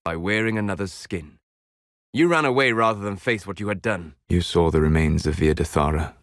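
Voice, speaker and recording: Solemn, low-voiced ancient elven mage. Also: male voice, fairly bright tone, no echo, studio quality. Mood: solemn, reproachful. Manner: measured, deliberate, formal phrasing. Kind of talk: video game character dialogue.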